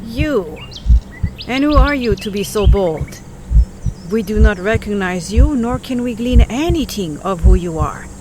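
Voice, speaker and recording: An imperious woman mage voiced by an actress challenges trespassers in a fantasy audio drama. Her voice sits at a medium pitch, stern and suspicious, with clear noise and forest effects behind it. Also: expressive, measured pace, close to an everyday voice. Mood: incredulous, demanding.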